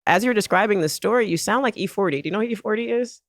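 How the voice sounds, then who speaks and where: A woman's voice: mid-range voice, very fast, no echo. A woman from Oakland, a writer and poet, chatting casually and with enthusiasm. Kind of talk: casual conversation.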